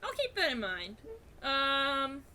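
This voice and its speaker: Bright, animated woman, clear background noise. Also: high voice, slow pace. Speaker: tabletop game player. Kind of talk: casual improvised game chat. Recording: noisy room ambience.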